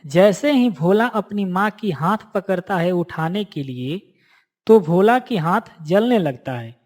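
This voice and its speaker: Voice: medium pitch, animated, takes it slowly, a little mellow. A narrator reading a scripted moral story for a cartoon in a storytelling style.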